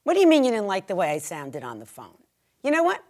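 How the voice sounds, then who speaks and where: A woman's voice: mid-range voice, huge pitch swings, fast, darkish tone. A woman playing a brash, indignant middle-aged mother in an emphatic stage monologue. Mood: indignant complaining.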